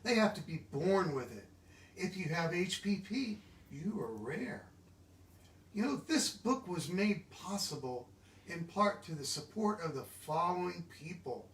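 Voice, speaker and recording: A man giving an animated, expressive children's storybook read-aloud, engaging his listeners directly. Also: high voice, measured pace. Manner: expressive, interactive, asks listeners questions. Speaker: children's book reader. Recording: echoey room, distant mic.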